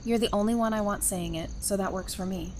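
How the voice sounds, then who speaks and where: A woman playing a soft-hearted, happy bride in an audio drama, speaking affectionately. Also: mid-range voice, fairly quick, a little hiss or hum, close to an everyday voice. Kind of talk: fan audio drama acting.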